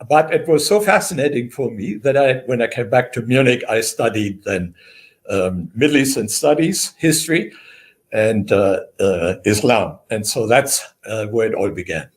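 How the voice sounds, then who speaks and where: Older man, German accent, academic guest, halting and measured delivery. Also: fairly low pitch, animated. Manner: measured, many "uh" fillers. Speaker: guest historian. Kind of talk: interview answers, lecturing.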